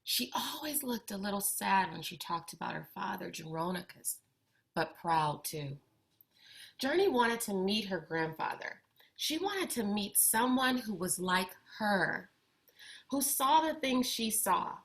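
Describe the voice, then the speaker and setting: A woman reading a children's picture book aloud with deliberate, expressive phrasing. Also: medium pitch, vocal fry. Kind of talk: read-aloud storytelling for children.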